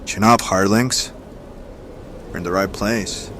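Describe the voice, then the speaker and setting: An actor playing a steady, curious leader of hirelings in an audio drama. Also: male voice, on the low side, measured pace, a little hiss or hum.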